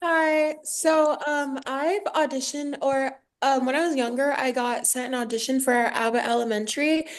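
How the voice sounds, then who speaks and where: Teenage American girl, high voice, hesitant, casual, youthful, halting phrasing, young actor asking questions. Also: steady tone.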